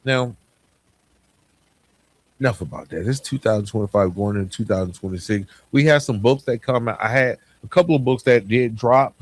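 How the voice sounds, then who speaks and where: A man's voice: fairly low pitch, moderate pace. A man hosting a solo podcast in a reflective, conversational and earnest motivational way, repeating phrases for emphasis, close to the microphone. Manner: conversational, frequent rhetorical questions and repetition for emphasis. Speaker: podcast host.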